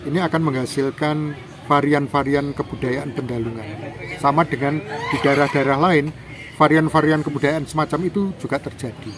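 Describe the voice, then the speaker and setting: A man's voice: moderate pace, a crowd in the background. Javanese man explaining with emphatic rises. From Java, Indonesia. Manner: lecturing, emphatic, lively rises in pitch for examples. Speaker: cultural expert guest. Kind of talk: explanatory interview talk.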